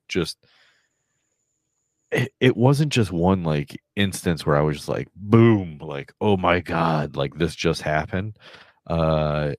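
A man's voice: low-pitched, no echo, clean studio sound. A man in his forties in casual podcast chat, rambling with lots of fillers and restarts. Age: 40s.

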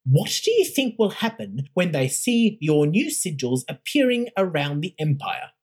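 A woman's voice: low-pitched, big pitch swings, unhurried, darkish tone, slightly rough, voice pushed up, heavily acted. A narrator voicing a stern, commanding woman who heads an imperial academy, speaking with cutting scorn. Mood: scornful.

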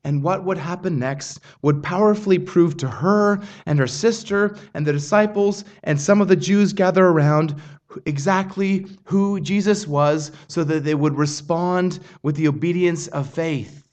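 Male pastor, high voice, earnest measured preaching, stumbles and restarts. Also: a little mellow. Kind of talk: sermon.

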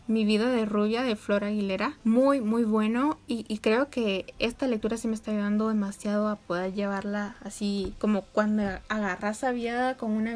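A woman's voice: fairly high pitch, a little mellow. A young woman, chatting casually and upbeat to her viewers at home. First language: Spanish. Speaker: vlogger. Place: home room with neighbors' animals outside.

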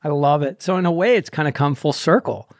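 A man's voice: fairly high pitch, a little fast, no echo, studio quality. A man in his sixties hosting a running podcast over a video call, chatty and upbeat. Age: 60s. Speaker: podcast host. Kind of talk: casual interview chat.

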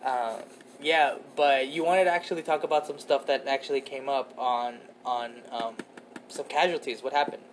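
A man's voice: mid-range voice, fairly even intonation, normal speed, noisy background. A man in his twenties co-hosting a podcast, chatting in a laid-back, rambling way with lots of fillers and casual swearing. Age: 20s. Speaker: podcast co-host. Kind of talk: casual chat.

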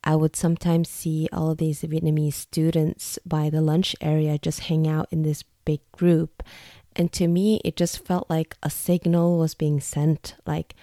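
A woman's voice: deep voice, flat delivery, unhurried, warm tone, no echo, clean studio sound. A young woman from Norway telling a personal story in a candid, chatty, reflective way. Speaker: vlogger.

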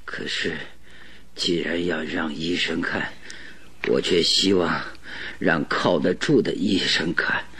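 An actor playing a gravely ill, stubborn detective in a radio drama, hoarse and breathy, speaking feebly and haltingly but sternly. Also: male voice, low voice, steady tone, sharp tone, exaggerated voice. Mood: weak, stubborn.

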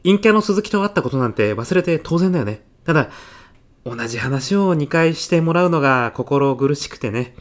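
A man's voice: on the high side, expressive, normal speed, close to an everyday voice. A man playing a mild, self-effacing classmate in his thirties with a sly salesman's streak, speaking politely in a comedy radio drama. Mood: apologetic, concerned. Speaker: actor in an audio comedy sketch.

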